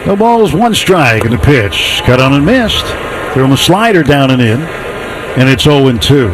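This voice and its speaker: A man with an American accent doing live radio play-by-play of a baseball game in measured, descriptive calls. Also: mid-range voice, very animated, noisy background. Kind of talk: live radio sports commentary.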